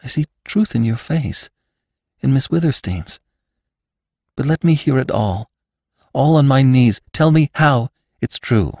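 A fragile young woman speaks softly, trembling and pleading, as a male reader puts her on. Her voice sits higher and the sound stays dry and close. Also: expressive, measured pace, pauses often, husky, no room sound.

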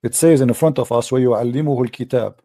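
A man's voice: mid-range voice, rapid-fire, mellow, no room sound, studio quality. A man hosting a live religious debate, arguing insistently, often repeating himself and quoting Arabic scripture.

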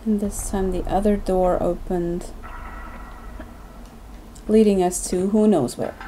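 Woman, let's-play commentator, warm low-key tone, light hiss under the voice, chatty and self-mocking. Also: steady tone, slow, rough recording. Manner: chatty, self-deprecating, thinking aloud. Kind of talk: casual gameplay commentary.

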